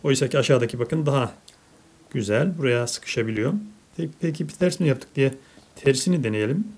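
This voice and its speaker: A woman's voice: a bass voice, brisk, a little mellow, husky. A woman narrating a casual toy unboxing for children, as a parent chatting with a child indoors at a table.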